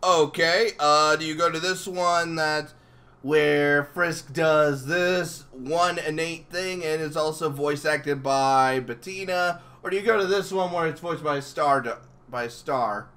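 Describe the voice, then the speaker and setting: A man chatting casually as an interviewer, animated and halting in places. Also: on the high side. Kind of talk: casual fan chat.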